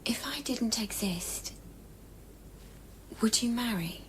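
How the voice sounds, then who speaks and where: Breathy, whispering sorrowful woman. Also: on the high side, expressive, slow, slight background noise. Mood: sad, tender. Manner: intimate, gently pleading. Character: sorrowful, devoted woman lover, gentle and insistent. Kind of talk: radio play acting.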